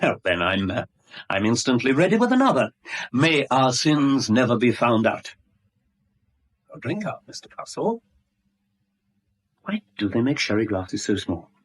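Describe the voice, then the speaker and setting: An actor playing an insinuating, over-polite English visitor with florid, ingratiating manners in a 1970s radio drama. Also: male voice, mid-range voice, very animated, a little slow, long pauses. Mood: jovial, insinuating. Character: smooth-talking, insinuating, over-polite male uninvited visitor.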